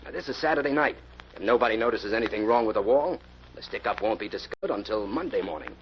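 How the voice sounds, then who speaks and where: A hard, scheming male gangster with a low voice, giving orders in crook's slang. It is old-time radio mystery acting, on a dull recording with clear noise behind it. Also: animated, fast. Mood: commanding. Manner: bossy, slangy criminal talk. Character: ruthless, scheming male bank robber, gang leader.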